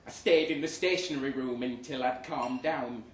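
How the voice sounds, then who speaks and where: A man's voice: mid-range voice, normal speed. Echoey man reading a comic story. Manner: performs the narrator as indignant and sardonic. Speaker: story reader. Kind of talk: dramatic comic short story reading to a live audience. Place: live reading event in a pub. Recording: echoey, noisy pub room, distant mic.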